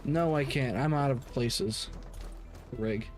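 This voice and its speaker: A man's voice: medium pitch, slow, soft background music. A man chatting casually while streaming a game, swearing and using plenty of slang. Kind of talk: casual livestream chat while gaming.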